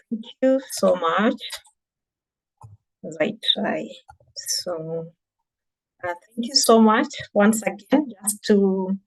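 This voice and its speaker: A woman's voice: medium pitch, no echo, rough recording. A woman from Kenya with a Kenyan accent, presenting as a digital marketing trainer over a video call, earnest and encouraging. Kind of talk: webinar presentation.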